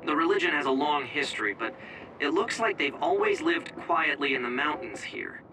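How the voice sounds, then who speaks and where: High-voiced young male support operator played by an actor. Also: expressive, moderate pace, distant mic, over music, natural voice, rough recording. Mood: informative. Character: matter-of-fact helpful young male mission support operator. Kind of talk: English video game dub acting.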